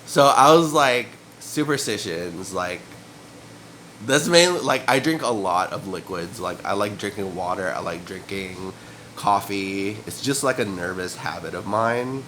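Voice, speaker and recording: A young man with a cold, sniffly and clearing his throat, rambling loosely through a casual monologue to his camera with lots of fillers. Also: sits low, a little slow, sharp tone, slight background noise, poor audio. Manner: loose and meandering, filler-heavy, frequent throat clearing and chuckles. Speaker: vlogger. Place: room at home. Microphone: camera mic.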